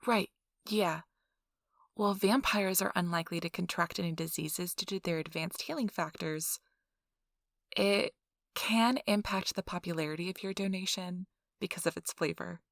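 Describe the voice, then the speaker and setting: A woman's voice: lowish voice, normal speed, gravelly, dry recording, clean studio sound. A woman playing a chatty, officious and reassuring interviewer at a vampire blood donation center in an ASMR role-play. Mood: polite, businesslike.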